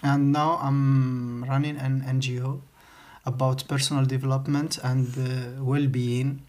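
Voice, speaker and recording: Slow, even-toned man with an Algerian accent. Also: mid-range voice. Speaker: podcast guest. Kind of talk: informal interview talk.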